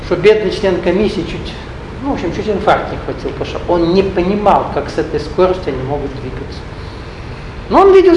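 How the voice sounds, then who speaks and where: A man with a notably high voice teaches a live audience, drifting between his points, joking aside and putting questions to the room. The recording is echoey, with a faint hum of the hall behind him. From Russian-speaking, not Ukrainian. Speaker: spiritual teacher. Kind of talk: lecture to an audience.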